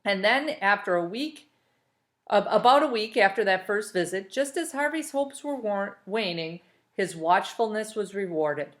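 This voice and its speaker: A woman's voice: medium pitch, animated, unhurried. Woman teacher reading a story aloud. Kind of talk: expressive storybook read-aloud.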